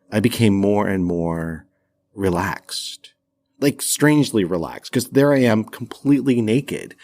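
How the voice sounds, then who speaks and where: A man hosting a storytelling podcast, speaking candidly and wryly with deliberate, dramatic pauses. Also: lowish voice. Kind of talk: personal storytelling.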